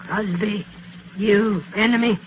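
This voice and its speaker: Friendly hut-dwelling healer, high voice, clipped broken english, tinny noisy old radio. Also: male voice, steady tone, takes it slowly. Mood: earnest. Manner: broken pidgin English, short clipped phrases. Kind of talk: old radio drama acting.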